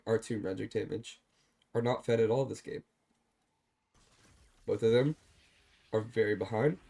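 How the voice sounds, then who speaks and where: A man calmly walking through a replay of his own game, explaining his choices, with a slightly stuffed-up voice. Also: on the low side. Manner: calm, explanatory, self-deprecating. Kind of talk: gameplay commentary over a replay.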